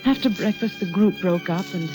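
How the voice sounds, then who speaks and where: Suspense heroine played by a woman: mid-pitched, placating, earnest hostess in vintage radio style, with prominent music underneath. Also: moderate pace, lightly acted. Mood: uneasy. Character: polite, uneasy hostess narrating a suspense tale. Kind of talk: 1940s radio acting. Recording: old radio drama, loud music behind.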